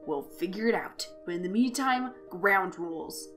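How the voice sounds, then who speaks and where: Audio fiction role: curt, exasperated college guy, read by a woman, medium pitch, music beneath. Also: animated, moderate pace, fairly bright tone, natural voice. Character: blunt, irritable young male college student.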